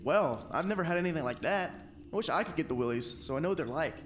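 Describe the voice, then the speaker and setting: Naive young lad for comic radio drama, played by a man: higher-pitched, unbothered, simple-minded, faint noise behind. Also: animated, fast, lightly acted. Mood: guileless, eager. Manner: guileless, unbothered. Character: naive, fearless, cheerful simple-minded boy hero. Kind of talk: comic radio play acting.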